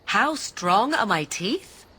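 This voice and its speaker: A woman's voice: mid-range voice, big pitch swings, slow pace, pushed and bright, slight background noise, exaggerated voice. An actress playing a haughty, sardonic young witch in a fantasy game, arch and disdainful, with theatrical old-fashioned phrasing. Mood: sly teasing. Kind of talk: fantasy video game voice acting.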